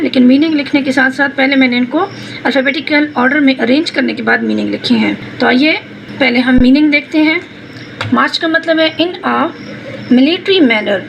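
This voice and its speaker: A woman with a Pakistani accent explaining exercises as a teacher, spelling out words and switching between explanation and slowly read phrases. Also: high-pitched, creaky, low-quality recording. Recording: noticeable background noise.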